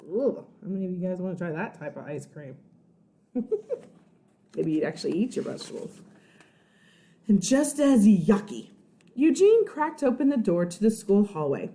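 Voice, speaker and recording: A woman's voice: medium pitch, sing-song, moderate pace, pauses often, warm tone. A woman reading a children's storybook aloud like a first-grade teacher, expressively, with explanations and check-ins for young listeners.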